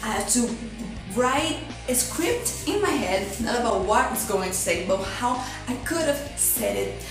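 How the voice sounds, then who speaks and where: A young Brazilian woman with a Brazilian Portuguese accent chats to her camera in a candid, self-mocking way. Her voice sits a little high and comes from a step back from the mic. The room echoes heavily, and music plays clearly under her. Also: unhurried, far from the mic. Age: young adult. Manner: self-deprecating, chatty, animated swings. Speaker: vlogger. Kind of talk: candid personal vlog monologue.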